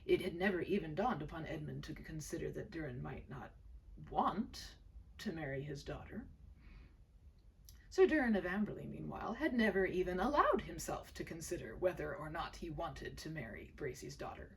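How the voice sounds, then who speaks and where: A woman reading a story aloud as expressive, dramatic narration, with phrase endings that drop to a hushed breath. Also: deep voice, moderate pace, gravelly, distant mic, a little hiss or hum, poor audio. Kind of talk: expressive scripted narration of a historical romance tale.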